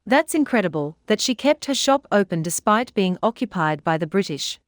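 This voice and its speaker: Animated woman co-hosting a children's storytime. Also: mid-range voice, normal speed, no echo, clean studio sound, soft background music. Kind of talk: scripted discussion.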